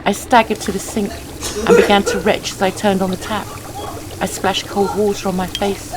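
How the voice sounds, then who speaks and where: A woman reading a scripted first-person horror story in a measured, dramatic, suspenseful way. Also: mid-range voice, flat delivery, heavy background noise.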